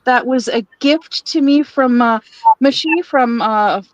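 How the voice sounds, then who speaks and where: A high-voiced woman chats slowly and easily as she hosts a crafting live stream. She giggles between phrases and rambles with plenty of fillers, and the sound is close and dry. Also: dry recording, dull audio. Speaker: livestream host. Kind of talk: casual live-stream chat while crafting.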